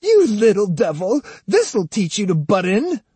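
A man voicing a fierce, suspicious old woman in an audiobook, scolding and commanding in a strained, shrill way. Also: very animated, unhurried, mellow, husky, no echo, exaggerated voice. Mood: furious. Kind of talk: audiobook character acting.

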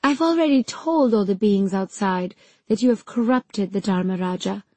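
A woman voicing a cold, vengeful villainess in an audiobook, speaking with calm, soothing, taunting menace. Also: mid-range voice, normal speed, dry recording, lightly acted.

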